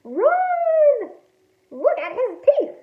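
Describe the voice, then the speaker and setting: A woman's voice: extremely high pitch, huge pitch swings, very slow, echoey room, narrow, tinny audio, voice pushed up, full character voice. A woman playing frantic, terrified townspeople shrieking in a children's storybook. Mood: panicked. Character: panicked townspeople shrieking in terror of a shark.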